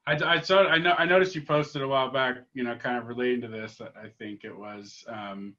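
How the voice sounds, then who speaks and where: A male interviewer with a bright, forward tone and a relaxed, agreeable way of talking, full of fillers. The sound comes through dull and lo-fi. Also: mid-range voice, brisk. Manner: relaxed, agreeable, many fillers and short backchannels. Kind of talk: casual interview chat.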